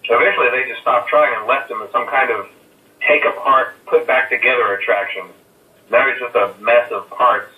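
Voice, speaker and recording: A man's voice: medium pitch, fairly even intonation, moderate pace, recorded from a distance, close to an everyday voice. A man playing a hesitant phone caller who leaves rambling, reassuring messages full of "uh" fillers. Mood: easygoing. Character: nervous male night-guard phone caller. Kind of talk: recorded game voice lines. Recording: muffled echoey phone-message recording.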